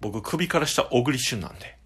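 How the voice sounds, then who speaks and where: A man's voice: medium pitch, expressive, normal speed, a bit bright, slightly rough, exaggerated voice. A man acting a cheeky, boastful junior contestant in an amateur radio drama, with casually deferential speech. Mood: cocky, defiant. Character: cheeky junior male rival on a dating show, eager and boastful.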